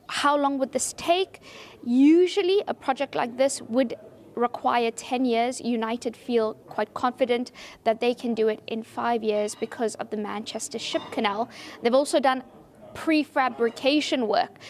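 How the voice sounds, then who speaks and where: A woman with a fairly high voice delivers broadcast sports news. She speaks as a seasoned reporter, measured and emphatic, leaving dramatic pauses between phrases. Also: darkish tone.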